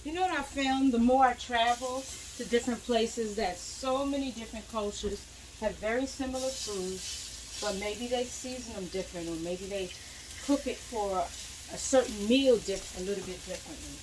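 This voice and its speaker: A woman's voice: fairly high pitch, normal speed, background noise. A young woman, a cooking vlogger, chatting casually as she fries food in her home kitchen and moves around the stove.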